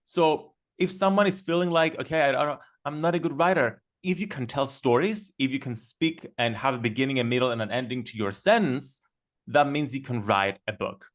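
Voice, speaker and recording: Enthusiastic man. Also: medium pitch. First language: Greek. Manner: enthusiastic, storytelling, quick with fillers. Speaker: guest. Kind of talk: casual podcast conversation.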